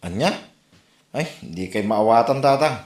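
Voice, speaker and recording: A man's voice: mid-range voice, animated, normal speed, a little mellow, natural voice. Guy playing fed up ilocano son scolding his dad, mid voice, echoey comedy skit. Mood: exasperated. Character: exasperated grown son scolding his drinking father. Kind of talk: Ilocano comedy radio-style drama acting. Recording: roomy echo.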